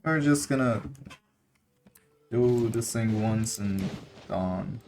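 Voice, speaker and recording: Very slow-talking man narrating his gameplay. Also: on the low side, noisy background. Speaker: gaming streamer. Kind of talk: casual gameplay commentary.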